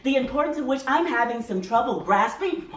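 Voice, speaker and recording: A woman's voice: high voice, lively intonation, a little fast, fairly bright tone, voice pushed up. Echoey, martyred older mother, acted by a woman. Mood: indignant. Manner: martyred, indignant, guilt-tripping. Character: aggrieved, self-pitying, domineering older mother of a groom. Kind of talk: theatrical stage monologue. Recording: roomy echo, distant microphone.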